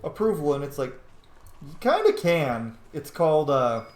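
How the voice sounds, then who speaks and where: A man's voice: on the high side, very animated, normal speed. A man giving casual, playful gameplay commentary, swearing now and then and chatting to the game's characters. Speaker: streamer playing and commenting on a video game. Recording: roomy echo with background noise.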